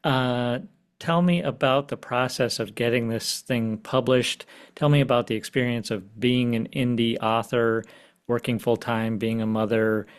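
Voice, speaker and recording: A man hosts a casual interview, friendly and relaxed, with small laughs and stumbling restarts as he sets up each question. His voice sits at a middle pitch for a man. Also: measured pace, smooth. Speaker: host and publisher.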